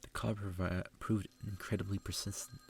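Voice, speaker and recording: A man reading a story aloud in a soft, subdued ASMR style, unhurried and gravelly. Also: little pitch movement, a little hiss or hum. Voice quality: low, gravelly, soft-spoken. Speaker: ASMR reader.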